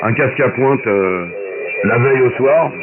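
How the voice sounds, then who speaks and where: A man's voice: mid-range voice, a little slow, a loud crowd. A man chatting on amateur radio in a blunt, offhand, colloquial way, heard through a shortwave transmission. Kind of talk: casual radio chat. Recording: very noisy narrowband shortwave radio. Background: loud radio static.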